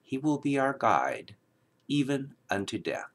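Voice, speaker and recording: A man reading the Bible aloud in a solemn, dramatic style, with emphatic, declamatory delivery and measured phrasing. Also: mid-range voice, sing-song. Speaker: scripture reader.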